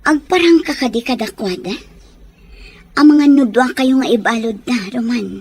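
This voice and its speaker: A woman's voice: fairly high pitch, moderate pace, husky. A woman playing a concerned, protective elder-sister figure who cautions others in an audio drama. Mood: cautioning. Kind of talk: Ilocano audio drama acting.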